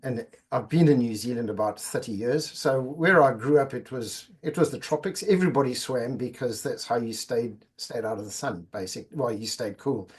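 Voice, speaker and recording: A man's voice: mid-range voice, moderate pace, few pauses, husky. A middle-aged man from Zimbabwe, a podcast guest chatting casually and often repeating words as he goes. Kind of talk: casual interview chat.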